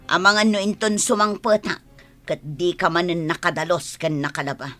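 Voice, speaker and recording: A woman's voice: on the low side, steady tone, normal speed, a bit bright, soft background music, close to an everyday voice. An actress playing a scolding, bitter and proud village mother in an Ilocano radio drama.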